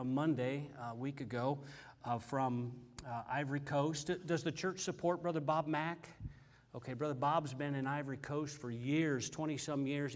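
Folksy man in his sixties, preaching. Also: medium pitch. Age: 60s. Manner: folksy, chatty, frequent fillers and amens. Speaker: visiting missionary preacher. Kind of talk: informal church testimony. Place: church sanctuary.

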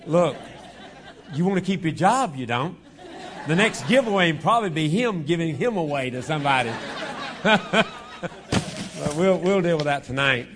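A man opening a sermon as a guest preacher, slightly hoarse from a cold, joking in a folksy, self-deprecating way into a lapel mic in a church auditorium. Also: a little high, animated, normal speed, pauses often, a crowd in the background, noisy background.

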